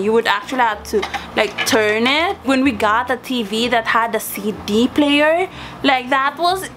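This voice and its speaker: A woman's voice: sits high, slight background noise. A young woman from Sweden chatting casually to camera in a playful, exclamatory, slangy way. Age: young adult. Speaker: vlogger showing childhood belongings.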